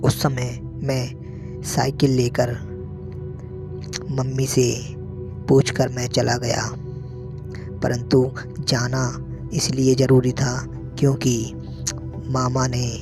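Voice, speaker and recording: A man narrating a scary story in the first person, in short phrases with pauses. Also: mid-range voice, gravelly. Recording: prominent music behind the voice.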